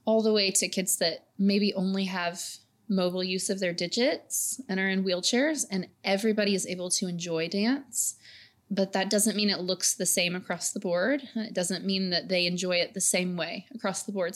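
Reflective woman dance teacher. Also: mid-range voice. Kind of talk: podcast conversation.

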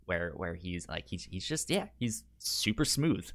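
A man with a fairly low voice talks casually about film as a podcast co-host. His delivery is halting, with syllables and words often repeated as he works through a thought. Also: sing-song.

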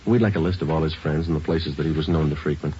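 An actor playing a terse, businesslike police sergeant in an old radio crime drama, clipped and deadpan, on a dull vintage recording. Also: male voice, a bass voice, quick pace, slight background noise. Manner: clipped, deadpan, matter-of-fact. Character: terse, businesslike male police sergeant narrating his case. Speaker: police detective.

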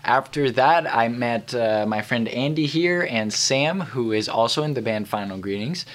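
A man's voice: mid-range voice, lively intonation, moderate pace, fairly bright tone. A young man from Hungary answering casually as an interview guest. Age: young adult. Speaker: interview guest, touring band member.

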